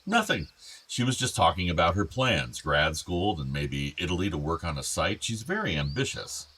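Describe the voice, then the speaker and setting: A wistful, meddling older father in a radio drama. His voice sits very low yet moves animatedly, with formal, bookish phrasing. Faint birds and insects sound behind him. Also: very animated, normal speed, bright, forceful tone, a little hiss or hum, heavily acted. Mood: urging. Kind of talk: naturalistic radio play acting.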